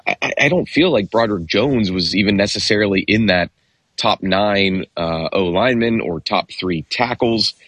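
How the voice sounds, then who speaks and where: A man's voice: on the low side, moderate pace, a bit bright, breathy edge, no echo. A man co-hosting a sports radio show, talking casually and hesitantly with many fillers and restarts.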